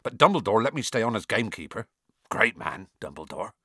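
A man voicing a big, gruff, warm-hearted giant gamekeeper with rustic colloquial speech, in an audiobook. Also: lowish voice, big pitch swings, fairly quick, dry recording, clean studio sound, full character voice. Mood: sheepish, confiding. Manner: rustic colloquial speech, hesitant mumbles, growling and thundering. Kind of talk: audiobook character acting.

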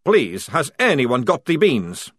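Guy doing a storybook soldier who moans and drags his words out, pretty lively pitch. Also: dry recording, studio quality, heavily acted. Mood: moaning. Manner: moaning, drawn-out. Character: weary male soldier desperate for coffee.

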